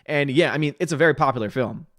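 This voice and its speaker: A man's voice: mid-range voice, no echo, clean studio sound. A man in his thirties hosting a livestream, reading viewer comments aloud and giving his opinions in a chatty, opinionated way, his voice a bit tired. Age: 30s. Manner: chatty, opinionated, quick asides. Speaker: livestream host reading chat. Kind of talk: casual livestream chat.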